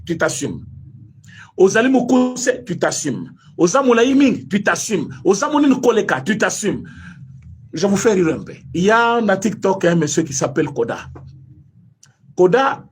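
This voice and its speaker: A Congolese man with a strongly husky, breathy voice pitched high for a man. He delivers heated political commentary with a Congolese French accent. His pitch swings widely as he fires off rhetorical questions. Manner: emphatic, rhetorical questions, mixes French and Lingala. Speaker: online commentator.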